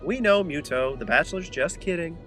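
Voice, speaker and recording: Guy playing an eager jokey sidekick, higher male voice, bouncy pitch, comedy sci-fi audio drama. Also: lively intonation, brisk. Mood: casual, conciliatory. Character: excitable, chatty male adventuring sidekick. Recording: loud music under the dialogue.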